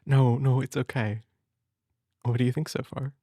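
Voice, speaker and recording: A man speaking gently and hesitantly in an intimate comfort roleplay, as a big brother reading a storybook. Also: medium pitch, steady tone, normal speed, no echo, studio quality. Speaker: audio roleplay performer as a caring big brother.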